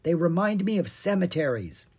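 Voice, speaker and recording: A reader doing a cautious young girl dragon, husky. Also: medium pitch, big pitch swings, moderate pace, a little mellow, voice pushed up. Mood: nervous, hushed. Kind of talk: animated children's storybook character reading.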